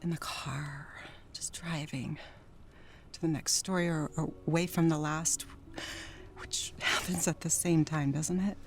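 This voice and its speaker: An actress playing Jerry, a troubled, wistful, newly sober wife in a 1930s radio drama. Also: female voice, sits low, level tone, measured pace, a little hiss or hum. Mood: wistful, pleading.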